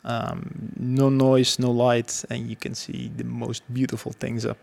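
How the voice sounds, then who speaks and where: Belgian guy in his 30s, gravelly, lots of ums and restarts. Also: medium pitch, little pitch movement, unhurried, a little mellow. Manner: hesitant, many fillers and restarts. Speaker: guest. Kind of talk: casual conversational interview.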